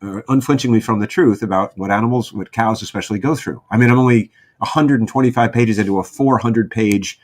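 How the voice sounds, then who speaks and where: A man's voice: on the low side, brisk, hardly any pauses. A man talking casually as a podcast guest, with a wry, joking manner. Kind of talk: casual group chat.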